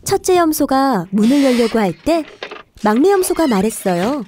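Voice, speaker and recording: A woman narrating a children's fairy tale, telling it clearly for young listeners. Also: high voice, big pitch swings, moderate pace, noisy background. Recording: faint music behind the voice.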